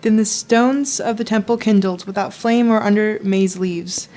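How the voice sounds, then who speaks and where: A woman's voice: medium pitch, steady tone, normal speed, mellow, faint music behind, close to an everyday voice. A young woman playing a sorrowful prophetess risen from the dead, reciting solemn, incantatory verse in an audio drama. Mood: mournful, foreboding. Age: young adult. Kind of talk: poetic prophecy in a radio play.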